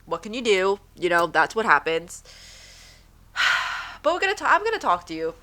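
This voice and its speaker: A woman's voice: mid-range voice, big pitch swings, normal speed, bright, forceful tone. A woman hosting her own podcast from home, chatting casually and rambling, with a stuffy, nasal voice. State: stuffy nose. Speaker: podcast host. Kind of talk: casual chat.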